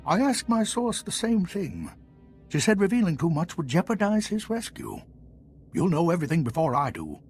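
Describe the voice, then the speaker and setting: An actor playing a determined Republic fleet commander in a video game, speaking with solemn authority. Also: male voice, high-pitched, very animated, normal speed, a little mellow. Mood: solemn resolve. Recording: music under the voice.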